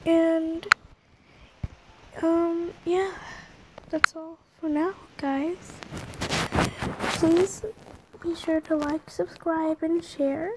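Young girl, sick and hoarse, high voice, slow rambling talk, noisy phone recording. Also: fairly even intonation. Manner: rambling, halting, with throat clearing. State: losing her voice, sick. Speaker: drawing app vlogger. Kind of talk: casual screen-recorded chat. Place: bedroom at home, brother snoring nearby.

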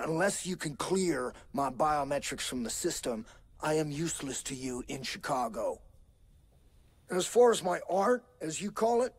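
An actor playing a cocky, cranky middle-aged American hacker in a video game, with a folksy, sardonic drawl. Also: male voice, on the high side, vocal fry, husky, full character voice. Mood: wary, sardonic. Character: cranky, cocky older male hacker turned junkyard sculptor.

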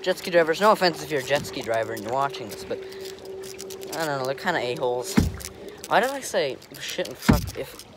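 A man's voice: medium pitch, lively intonation, brisk. A young American man rambling casually to camera in an offhand, easygoing way, recorded outdoors on a phone. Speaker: vlogger. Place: outdoors on a lake in a kayak and on shore. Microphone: phone held or propped close. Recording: noisy outdoor wind and water noise.

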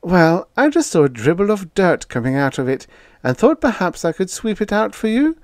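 A man's voice: very animated, normal speed, no echo, studio quality. Man putting on a prim, nosy old landlady. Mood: prying. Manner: high, prim and prying. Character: fussy, nosy older landlady woman.

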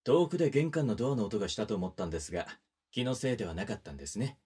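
An actor playing a polite, gentlemanly young wedding photographer who speaks softly and teases the listener in an audio drama. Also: male voice, on the low side, big pitch swings, racing, a little hiss or hum. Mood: caring, soft. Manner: soft-spoken, polite, teasing.

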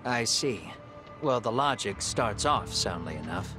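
An actor playing a grave, calculating middle-aged man in a video game drama, speaking in a measured, deliberate way. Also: on the low side, lively intonation, music in the background. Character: composed middle-aged male fugitive avenger, calculating and grave.

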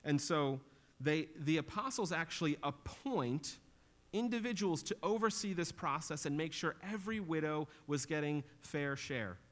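A man's voice: fairly high pitch, lively intonation, normal speed, a bit bright. Man preaching an earnest sermon. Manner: earnest teaching tone, rising for emphasis. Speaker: pastor. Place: small church stage with drum kit.

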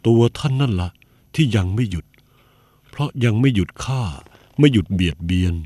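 An actor voicing a calm, serene male spiritual teacher in an animated cartoon, speaking in a measured, solemn way. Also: lowish voice, dry recording.

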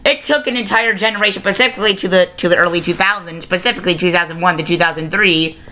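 A young adult giving a casual video review to camera, halting and often self-correcting, recorded on a webcam mic in a small room. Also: mid-range voice, sharp tone, low-quality recording. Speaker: review show host. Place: small plain-walled room indoors. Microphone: laptop webcam microphone at arm's length.

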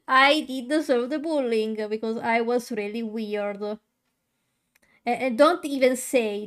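A young woman streaming while coloring, chatting casually to her viewers, with a stutter and many fillers and restarts. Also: fairly high pitch. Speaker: VTuber streamer.

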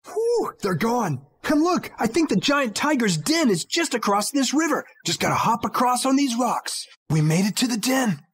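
Very animated male children's show host. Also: very high voice, normal speed, few pauses, slightly rough. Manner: animated, exclamatory, excited. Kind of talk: energetic scripted children's show presenting to camera.

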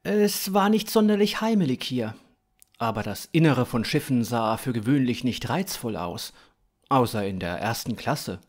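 A man in his thirties narrating scripted fiction in a dramatic, expressive way. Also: medium pitch, normal speed.

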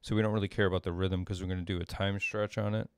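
A middle-aged man giving an informal tutorial at his desk, thinking aloud in a halting way as he explains. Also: low-pitched, flat delivery, clear, resonant tone, dry recording, clean studio sound. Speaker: composer demonstrating audio programming. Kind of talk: informal screen-share tutorial. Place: small indoor room at a desk.

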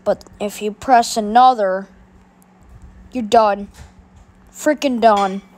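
A woman's voice: medium pitch, fairly even intonation, slow, a little mellow, slight background noise, lightly acted. A woman voicing a bossy older boy who gloatingly announces punishments in a homemade skit.

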